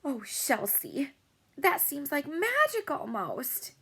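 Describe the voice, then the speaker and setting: A boastful, spoiled little rich girl from a children's story, squealing and whining as she brags, voiced by a woman reading aloud. Her voice is very high and bright and leaps all over the place. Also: big pitch swings, slow, vocal fry, exaggerated voice. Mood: excited. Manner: squealing, bragging, whiny.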